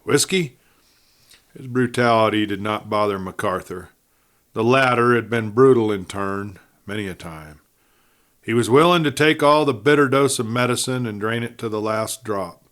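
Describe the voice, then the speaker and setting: A man's voice: fairly low pitch, moderate pace, a bit bright. A man reading a western novel aloud as a folksy storyteller, with conversational asides and dramatic emphasis. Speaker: audiobook narrator and host.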